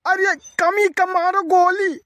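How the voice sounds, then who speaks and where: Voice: very high voice, level tone, slow pace, a bit bright, dry recording, studio quality, heavily acted. A performer voicing a teasing, grumbling cartoon village uncle with a rustic eastern Uttar Pradesh accent and exclamatory, colloquial delivery. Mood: indignant storytelling. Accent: eastern Uttar Pradesh (Awadhi). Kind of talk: rustic comedy cartoon dialogue.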